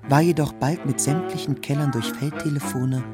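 A man's voice: a little high, fairly even intonation, moderate pace, over music. A man reading a scripted literary narration in a sober, factual manner.

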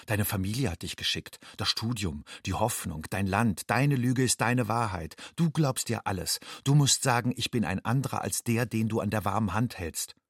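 A haunted young undocumented man in a radio drama. His voice is low and husky, recorded dry and close. He talks to himself in terse, staccato phrases, secretive and turned inward. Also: moderate pace, few pauses, no room sound. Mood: agitated. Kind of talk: literary second-person monologue in a radio play.

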